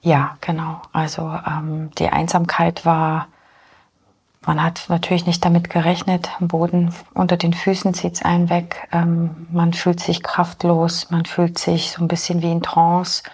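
Husky, hesitant woman in her forties. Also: on the low side, completely flat delivery, darkish tone. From born in Poland, grew up in Germany. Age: 40s. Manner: hesitant, many filler pauses. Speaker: guest. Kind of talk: personal interview talk.

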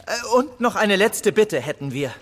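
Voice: medium pitch, sing-song, normal speed, a little hiss or hum, lightly acted. A performer playing an earnest, insistent young adventurer in a children's audio drama, polite but emphatic. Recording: long reverberant hall, faint music.

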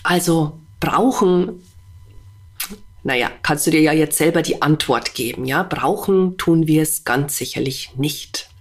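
A woman's voice: low-pitched, unhurried. A woman hosting a podcast with a stuffy, slightly congested voice, talking earnestly and directly to the listener with rhetorical questions. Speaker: podcast host; animal communicator. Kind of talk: spontaneous monologue to listener.

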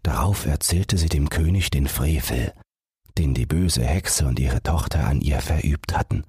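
A man's voice: rumbling low, unhurried, a little mellow, slightly rough, no echo. A man reading scripted narration as the host of a bedtime audiobook podcast.

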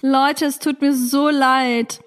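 A woman's voice: high voice, flat delivery, slow pace, slight background noise. A woman co-hosting a podcast in casual banter, playful and given to exaggeration. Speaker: podcast co-host. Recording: long hall reverb.

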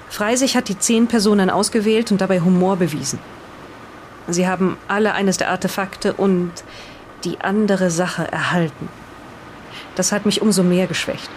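A woman's voice: on the low side, natural voice. A woman playing an uneasy 1920s witness to occult events in an audio drama, with faint noise behind. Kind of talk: in-character audio drama retelling.

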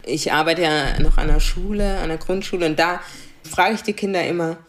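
A woman's voice: fairly low pitch, fairly quick, a bit bright, slight background noise. A young adult woman from Karlsruhe, a diversity coach, explaining things earnestly in a conversational interview and often adding a questioning "ne?". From Germany, Karlsruhe. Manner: earnest, explanatory, frequent "ne?" tags.

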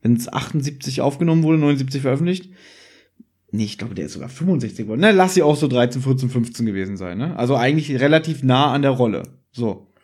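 A man's voice: mid-range voice, animated, fast talker, darkish tone, breathy edge. A man hosting a casual chat about audio dramas, explaining things with some teasing banter. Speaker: podcast host.

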